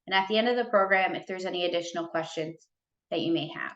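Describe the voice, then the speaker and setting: A woman moderating a panel over a video call, reading a formal welcome in a steady way. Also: on the low side, fast, reverberant, muffled recording.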